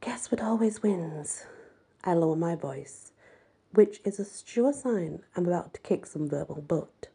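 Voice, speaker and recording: Husky, breathy woman reading a story. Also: on the low side, lively intonation, a little slow, warm tone. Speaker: amateur audiobook reader. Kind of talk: expressive first-person story narration.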